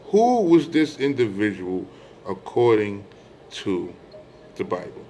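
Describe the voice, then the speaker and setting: Low-voiced American man preaching informally. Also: sing-song, slow, mellow, slight background noise. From United States. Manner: emphatic, repeats phrases, poses rhetorical questions. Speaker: religious speaker addressing online followers.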